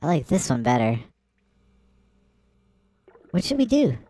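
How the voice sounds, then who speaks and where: A woman hosting a let's play, giving excitable, playful game commentary with gasps and shrieks at surprises. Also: sits low, unhurried, no room sound.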